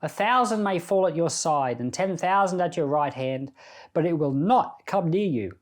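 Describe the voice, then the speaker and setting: A man's voice: fairly high pitch, animated, moderate pace. A man speaking as a pastor on a Bible podcast, reading scripture and offering reflective, informal devotional commentary.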